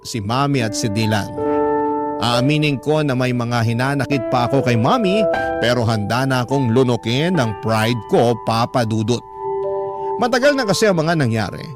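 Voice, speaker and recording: A man's voice: medium pitch, lively intonation, moderate pace, close to an everyday voice. A man with a Filipino accent playing an earnest young man who tells his own heartache in confiding, sentimental radio drama narration. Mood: hopeful, wistful. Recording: narration over loud music bed. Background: prominent music.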